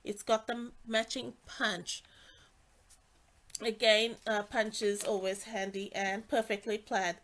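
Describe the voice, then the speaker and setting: A woman's voice: mid-range voice, level tone, takes it slowly, fairly bright tone. A woman with a congested, nasal voice, casually walking through craft products with many fillers and restarts. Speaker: stamping demonstrator.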